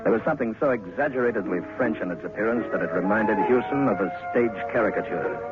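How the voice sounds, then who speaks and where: A man narrating a scripted horror story dramatically, in a measured way with deliberate pauses. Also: on the low side, dull audio. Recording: band-limited radio-like sound under music.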